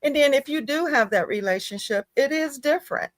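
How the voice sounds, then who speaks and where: A woman's voice: sits high, animated, brisk, no echo, clean studio sound. A woman hosting a casual faith-based talk, emphatic and conversational, often checking in with her listener. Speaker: talk show host.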